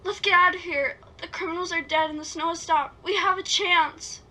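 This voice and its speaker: A woman's voice: extremely high pitch, steady tone, moderate pace, bright, forceful tone. A woman playing a wounded, guilt-ridden teenage girl in an amateur script read, with emotional, plaintive delivery. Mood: urgent, pleading. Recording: noisy home microphone recording.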